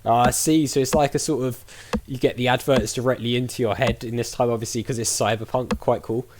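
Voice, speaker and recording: A man's voice: mid-range voice, steady tone, brisk, noisy background. A man giving casual let's play commentary, thinking aloud in a chatty way as he plays.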